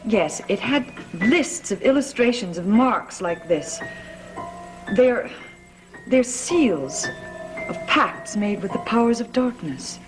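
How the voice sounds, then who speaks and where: An actress playing a composed, studious researcher in a gothic soap opera, speaking in a serious, measured way. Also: female voice, medium pitch, expressive, poor audio. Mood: serious, intent. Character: studious, composed woman researcher of old witchcraft. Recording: vintage TV soundtrack with music.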